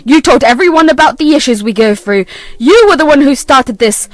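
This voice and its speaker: A woman's voice: sits high, animated, fairly quick, no echo. A woman voicing an angry adult son who scolds his mother harshly.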